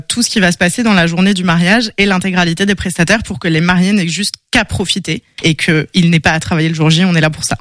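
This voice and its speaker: Quick-talking woman in her thirties. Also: on the low side, dry recording, studio quality. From France, raised in southern Spain. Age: 30s. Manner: quick, confident, readily agreeing. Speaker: wedding planner guest. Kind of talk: casual interview chat.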